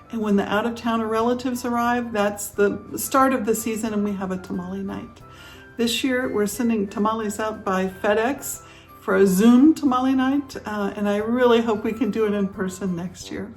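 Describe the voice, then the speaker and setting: A woman's voice: medium pitch, low-quality recording. An American woman telling a story to camera about her family traditions. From United States. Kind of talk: casual self-introduction to camera.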